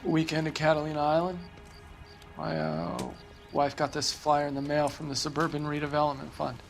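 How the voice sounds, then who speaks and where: Weary American family man in a game drama, resigned, creaky, on the higher side. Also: level tone, normal speed, music in the background. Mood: weary, bewildered. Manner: subdued, resigned, hesitant. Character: weary family man who lost his home, resigned. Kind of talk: video game dialogue.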